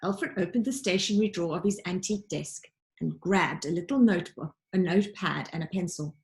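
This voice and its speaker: A woman narrating a children's storybook like a teacher reading aloud, with dramatic, deliberate emphasis. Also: lowish voice, a little mellow. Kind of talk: expressive children's audiobook narration.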